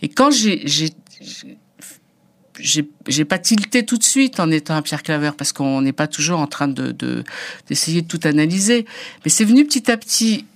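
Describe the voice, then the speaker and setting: A woman's voice: deep voice, very animated, moderate pace. A middle-aged woman photographer from North Africa, a native Arabic speaker, telling her story as a guest with many hesitations and restarts. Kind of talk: personal reflective interview talk.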